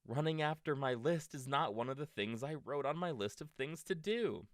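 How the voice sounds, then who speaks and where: A man's voice: medium pitch, animated, moderate pace, no room sound, studio quality, pitched above the performer's own voice, close to an everyday voice. A man voicing an anxious, stubborn, melodramatic male toad in a storybook. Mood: distressed, stubborn. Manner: wailing, exclamatory when upset.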